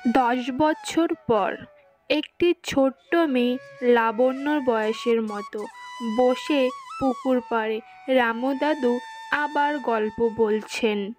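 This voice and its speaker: A woman's voice: a little high, takes it slowly, a little mellow, faint music behind. A woman reading scripted fairy-tale narration as the narrator of a story.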